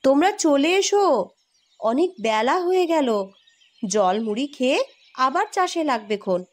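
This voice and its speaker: A woman voicing a caring, poor farmer's wife in a cartoon, with a soft, heartfelt delivery. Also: sits high, sing-song, unhurried. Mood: homely. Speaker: voice actor. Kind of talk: cartoon voice acting.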